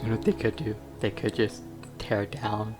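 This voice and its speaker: A man's voice: on the low side, level tone, moderate pace, music in the background. A man giving casual, offhand playthrough commentary as a gaming streamer, trailing off between remarks.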